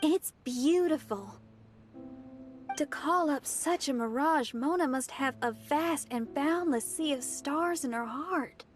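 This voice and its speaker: A woman's voice: high voice, slow pace, faint music behind. A woman voicing an awed young woman in a game, speaking in a lingering, poetic way. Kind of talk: scripted game voice acting.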